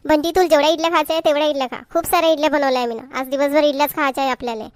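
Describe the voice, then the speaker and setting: A woman's voice: high-pitched, steady tone, rapid-fire, no echo, natural voice. A woman voicing a caring, fussing mother in a homemade comedy cartoon, with a homely Varhadi Marathi accent from Vidarbha. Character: Indian mother, fussing over her children, caring.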